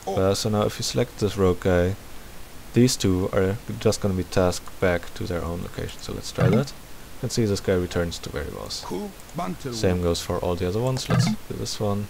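A man's voice: sits low, moderate pace, creaky, darkish tone, poor audio. A man hosting a game tutorial, thinking aloud in a casual commentary as he works. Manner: thinking aloud while working. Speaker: tutorial host. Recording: faint background hiss.

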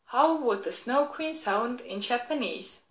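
A woman's voice: fairly high pitch, lively intonation, measured pace. Female actor as clever, friendly storybook teddy bear toy. Scripted children's demo, teacherly and encouraging, roomy echo. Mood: encouraging, playful. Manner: encouraging, instructive. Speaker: talking toy presenting itself. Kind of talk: scripted product demonstration for children.

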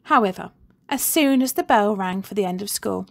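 A woman teacher reading a children's book aloud with expressive, animated storytelling. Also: medium pitch, moderate pace, creaky.

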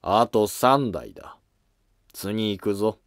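A man playing a driven, matter-of-fact court scholar in a historical drama, speaking in measured lines. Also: lowish voice, lively intonation, no room sound, studio quality. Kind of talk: scripted historical drama dialogue.

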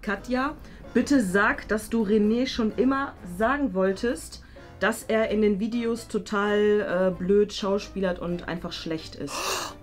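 A woman's voice: medium pitch, animated, takes it slowly, soft background music. A young adult woman giving short, playful instructions to performers from an indoor studio. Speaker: off-table prompter giving instructions. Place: indoor home studio with desk.

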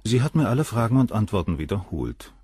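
An actor playing a cool, arrogant, middle-aged surgeon who talks down about the women around him, in a radio play. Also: male voice, on the low side, big pitch swings, fast talker, darkish tone, husky, dry recording, studio quality. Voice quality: cool, cynical, dismissive.

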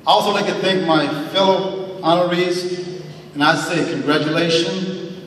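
A man's voice: on the high side, steady tone, a bit bright, a little hiss or hum. Earnest man speaking in an echoing hall. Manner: earnest, slow emphatic phrasing with thanks and faith. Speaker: hall of fame inductee. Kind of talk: acceptance speech. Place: large banquet hall, at a podium. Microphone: podium mic, recorded from far in the audience. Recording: echoey hall audio from far off.

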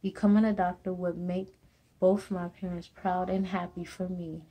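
A young woman from Jamaica with a Jamaican accent, slowly reading a personal essay to a webcam in a small room at home. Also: lowish voice, level tone, warm tone, slightly rough. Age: young adult. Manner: slow, halting reading with restarts. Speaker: student. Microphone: webcam or laptop camera close up.

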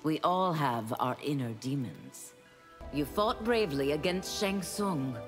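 A woman playing a solemn demon seeking redemption, speaking formally and gravely in a fighting video game. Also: low-pitched, expressive, a little slow. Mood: stern, solemn. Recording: long reverb, faint game music.

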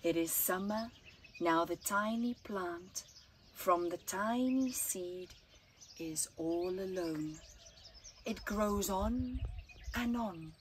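Middle-aged female storyteller, unhurried and expressive children's read-aloud, dramatic pauses, outdoors with faint birdsong. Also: medium pitch, a little hiss or hum. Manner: deliberate, dramatic pauses between short phrases. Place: outdoors in an open gazebo at a flower farm. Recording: outdoor recording with birdsong behind. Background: birds chirping.

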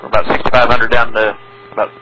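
A man's voice: mid-range voice, little pitch movement, fast, highs cut off. A man flying as an airline pilot, giving terse, matter-of-fact readbacks over a noisy radio transmission.

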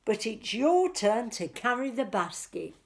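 Woman reading as a high-pitched, eager storybook hen. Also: sing-song, a little slow, breathy edge, heavily acted. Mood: agreeable. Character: cheerful female hen, eager picnicker in a storybook.

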